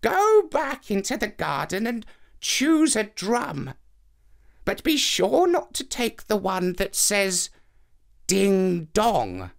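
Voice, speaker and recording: A man voicing a commanding old woman who gives slow, deliberate instructions in a storybook tale. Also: high-pitched, wildly swooping pitch, creaky, pitched above the performer's own voice, exaggerated voice. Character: commanding old woman giving strange errands.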